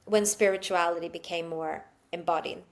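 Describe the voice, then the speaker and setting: A woman's voice: on the low side, fairly even intonation, normal speed. A woman who grew up in Sweden, chatting as a podcast guest. She talks loosely with plenty of filler words and laughs often as she tells her story. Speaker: guest, psychic channel. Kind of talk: casual interview chat.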